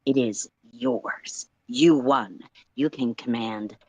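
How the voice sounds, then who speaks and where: Measured, portentous storyteller, closely recorded. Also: mid-range voice, dry recording. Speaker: livestream co-reader. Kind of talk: dramatic story narration.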